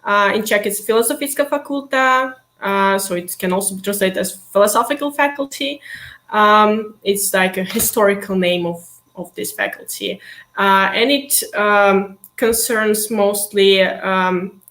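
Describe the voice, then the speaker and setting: A young woman, a university student, answering interview questions in a hesitant, casual way with many pauses and easy laughter. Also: medium pitch, a bit bright, echoey room. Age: young adult.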